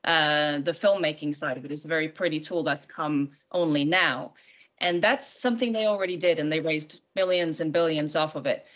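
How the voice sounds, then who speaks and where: A woman's voice: low voice, moderate pace, fairly bright tone. A woman screenwriter speaking as a guest, earnest and emphatic, sometimes stumbling into restarts. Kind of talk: opinionated discussion.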